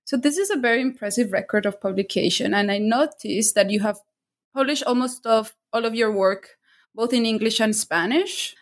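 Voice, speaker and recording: A woman's voice: on the high side, moderate pace. Enthusiastic woman hosting an interview. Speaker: podcast host, interviewer. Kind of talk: interview hosting with a read introduction.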